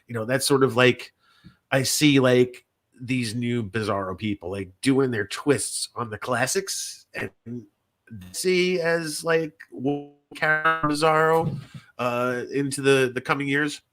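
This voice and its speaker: A man with a bright, forward voice at an average pitch hosts a casual podcast. He talks in a loose, rambling way, circling back over his phrases and swearing freely. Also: slow. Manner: rambling, repetitive, frequently swearing. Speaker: podcast host.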